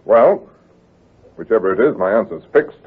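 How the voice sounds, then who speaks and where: Proud young heir, deep, tinny recording. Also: male voice, animated, normal speed, slightly rough. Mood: defiant, resolute. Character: proud, stubborn, hearty young male heir. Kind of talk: old-time radio drama acting. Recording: tinny, muffled vintage radio recording.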